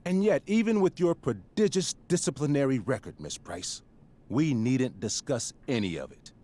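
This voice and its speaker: A man's voice: medium pitch, lively intonation, normal speed, warm tone, lightly acted. A man playing a stern, pompous middle-aged school principal in a dramatic video game, speaking in formal, wordy phrases. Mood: cold, severe.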